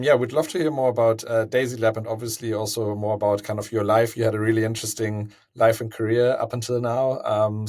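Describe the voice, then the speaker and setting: A male podcast host puts questions to a guest in a casual way. His voice sits a little lower than average. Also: a little mellow.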